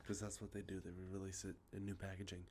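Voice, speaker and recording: A man with a congested, sniffly nose, talking softly and rambling, with many fillers, as an ASMR host showing off games he collected. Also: low voice, completely flat delivery, quick pace, slight background noise. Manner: rambling, many ums and uhs, self-correcting. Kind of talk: soft-spoken show-and-tell about collected video games. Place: outdoors with traffic nearby.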